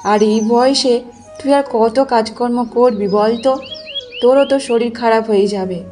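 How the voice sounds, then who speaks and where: High-voiced, opinionated village auntie played by a woman. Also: unhurried, dark tone, faint music behind, lightly acted. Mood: advising, chatty. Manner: advising, persuasive. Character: friendly, opinionated middle-aged village auntie. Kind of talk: Bengali cartoon voice acting.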